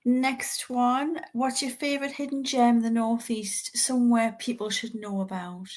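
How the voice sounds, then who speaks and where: A woman's voice: on the high side, fairly even intonation, normal speed, a little mellow. A woman with a Geordie accent co-hosting a podcast in casual, colloquial chat. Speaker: podcast co-host.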